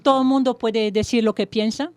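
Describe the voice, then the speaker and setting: A woman interpreting a speaker live, in halting phrases with false starts and self-corrections. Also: fairly high pitch, fairly even intonation, darkish tone, no echo. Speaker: interpreter voicing a speaker's remarks. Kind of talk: live spoken interpretation of a lecture. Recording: clear crowd noise behind the voice.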